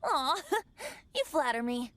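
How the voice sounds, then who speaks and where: High boyish voice, breezy young bard, lilting and lively, theatrical, game cutscene. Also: female voice, slow pace, a little hiss or hum, heavily acted. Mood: coy. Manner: theatrical, lightly coy storyteller. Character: youthful boy bard, secretly a god, playful and breezy. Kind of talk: animated video game voice acting.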